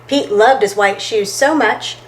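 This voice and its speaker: A woman reading a children's picture book aloud in an expressive, deliberate, singsong storytime manner. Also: medium pitch. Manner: expressive, deliberate, singsong delivery with dramatic exclamations.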